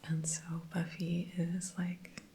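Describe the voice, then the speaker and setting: A woman whispering softly throughout, recapping TV episodes in a chatty ASMR style. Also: on the low side, level tone, takes it slowly, slight background noise. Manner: soft unvoiced whisper throughout, chatty with fillers. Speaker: TV episode reviewer.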